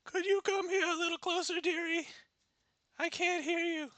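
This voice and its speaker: A man voicing a wolf disguised as a hungry grandmother in a high falsetto. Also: fairly even intonation, normal speed, bright, forceful tone, dry recording. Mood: wheedling. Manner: high falsetto old-lady voice, ravenous.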